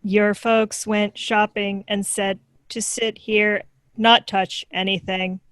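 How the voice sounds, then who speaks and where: A woman playing a literal, obedient child robot with a flat, robotic delivery in a sitcom table read. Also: mid-range voice, takes it slowly.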